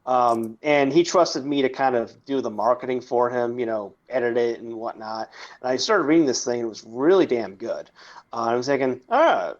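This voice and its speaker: A man in his thirties with a voice at an ordinary pitch, hosting a podcast. He tells his story in a halting, rambling way. The audio is dull and lo-fi. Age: 30s. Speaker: podcast host and publisher. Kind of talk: casual podcast chat.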